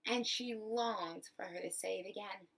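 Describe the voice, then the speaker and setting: A woman reads a children's storybook aloud at a slow, deliberate pace. Her voice is bright with a creaky edge, and she phrases expressively for young listeners, like a teacher. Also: medium pitch. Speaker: teacher reading a picture book aloud.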